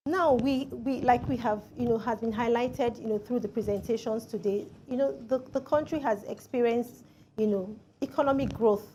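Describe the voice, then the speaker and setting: A woman, politely putting formal questions as a moderator, often pausing and saying "you know". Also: on the high side, mellow, slight background noise, rough recording.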